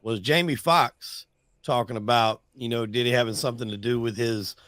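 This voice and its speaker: Male interviewer, average pitch, conversational, hedges carefully, very little room sound. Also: moderate pace, a bit bright, no room sound, studio quality. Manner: hesitant, careful, hedging what he repeats.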